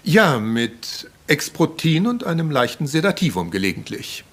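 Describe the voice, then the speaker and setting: A rationalist doctor with a husky, animated voice. He sounds blunt and clinical, dismissive in his phrasing, in radio crime drama style. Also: fairly high pitch, moderate pace. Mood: matter-of-fact.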